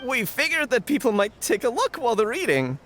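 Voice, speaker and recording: Timid, wildly swooping young gang underling. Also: female voice, on the high side, big pitch swings, a little fast, a bit bright. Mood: uneasy. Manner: whiny, fearful, hesitant. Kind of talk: English video game voice acting. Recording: music behind voice.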